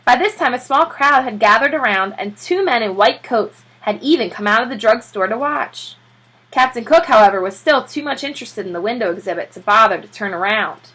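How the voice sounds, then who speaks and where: A woman reads a children's storybook aloud like a teacher to a class. Her voice is fairly high and bright, with a slightly husky edge and very lively intonation. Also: brisk, rough recording. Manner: expressive, acts out character voices.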